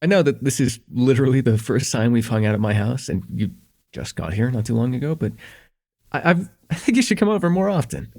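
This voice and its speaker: A man playing a shy, smitten young student in an intimate ASMR roleplay, with an earnest, hesitant delivery and a husky, slightly gravelly voice. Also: medium pitch, very animated, fairly quick. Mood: nervous, tender. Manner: hesitant, earnest, stammering, chuckling softly between phrases.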